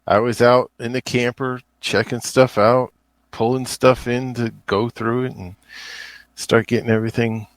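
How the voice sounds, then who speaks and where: A man's voice: medium pitch, steady tone, measured pace, dry recording. A man chatting casually as a podcast co-host, in a laid-back, plain-spoken way. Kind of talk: casual chat.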